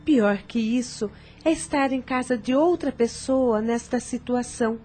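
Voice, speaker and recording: A woman's voice: on the high side, measured pace, a little mellow, faint music behind, natural voice. A woman playing an anguished, grieving widowed stepmother in a radio soap opera, speaking plaintively and formally. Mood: anguished, weary.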